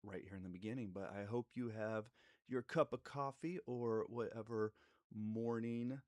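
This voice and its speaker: A man's voice: on the low side, moderate pace, no echo, clean studio sound. Congested man hosting a live chat. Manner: relaxed and meandering, many fillers and restarts. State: congested from a cold. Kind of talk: casual live-stream talk to viewers.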